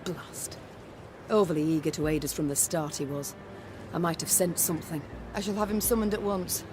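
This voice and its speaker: A woman's voice: deep voice, steady tone, moderate pace, noisy background, lightly acted. Commanding warrior queen, played by a woman. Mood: intent. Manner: formal, commanding, archaic phrasing. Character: stern, imperious warrior queen. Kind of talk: scripted video game voice acting.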